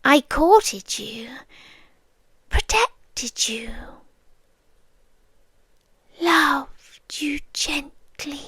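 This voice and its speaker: A British woman playing a possessive, lovesick vampire girlfriend in an intimate ASMR roleplay, speaking softly and breathily, often slipping into whispers, with a coaxing, lingering delivery. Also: high voice, very animated, drawn-out words, no echo, studio quality, heavily acted. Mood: wistful, menacing. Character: possessive, lovesick immortal vampire girlfriend, tender yet menacing.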